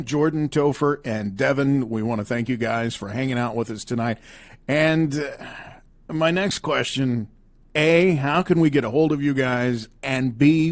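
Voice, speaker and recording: A man presenting a music show and interviewing guests in a host's delivery. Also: mid-range voice.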